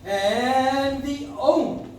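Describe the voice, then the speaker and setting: A pompous prosecutor played by a man declaims in a high voice at a slow, deliberate pace, stiff and indignant. It is radio courtroom drama, heard from far off in a very echoey room. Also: a little mellow, slight background noise, heavily acted. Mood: indignant, formal. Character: exasperated, pompous male prosecutor, stiffly formal. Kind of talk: old-time radio courtroom drama acting. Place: coffee shop stage, recorded from the audience. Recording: echoey live stage, far-off audience recorder.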